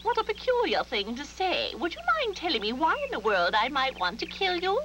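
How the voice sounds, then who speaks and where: A woman voicing an elegant, kindly swan in an animated fairy tale, genteel and reassuring. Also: sits high, a little fast, background noise. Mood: amused, kind.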